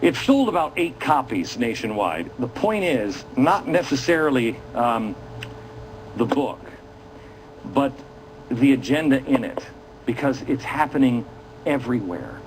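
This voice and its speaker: A man's voice: medium pitch, very animated, unhurried, slight background noise, low-quality recording. A man hosting a political commentary show, talking to viewers in a dramatic, indignant and sarcastic manner.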